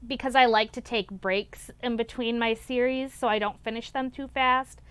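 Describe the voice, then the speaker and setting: High, clear-voiced young woman chatting about picks. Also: fairly bright tone, no room sound. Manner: enthusiastic, gushing, repeats words for emphasis. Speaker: book reviewer, vlog host. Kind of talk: casual book vlog chat.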